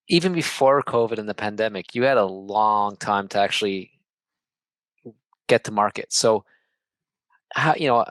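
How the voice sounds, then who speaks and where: A man's voice: lowish voice, a bit bright, no room sound. A man hosting an interview, asking encouraging but rambling questions about a startup. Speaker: interview host. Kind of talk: conversational interview.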